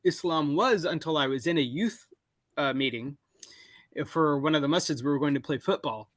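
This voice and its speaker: A young man calling in to a live show, talking in a halting way full of restarts and self-corrections. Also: a little high, fairly bright tone, dry recording. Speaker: caller. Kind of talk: casual call-in conversation. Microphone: call-in over a video call.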